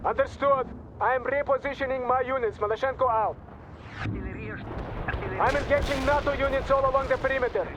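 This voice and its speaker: Fiery Soviet game captain: very high voice, Russian accent, defiant and urgent, with loud combat noise behind. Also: male voice, moderate pace, long pauses. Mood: urgent, combative. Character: hot-headed, fanatical Soviet army captain in combat. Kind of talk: scripted video game military radio dialogue. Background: artillery fire, gunfire and vehicles.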